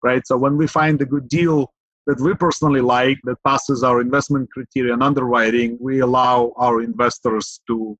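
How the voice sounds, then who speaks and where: A middle-aged man from Ukraine, a podcast guest, talking in an earnest, measured way with fillers, often asking "right?". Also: mid-range voice, little pitch movement, fairly bright tone, dry recording, lo-fi sound. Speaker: guest, real estate investor. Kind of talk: podcast interview.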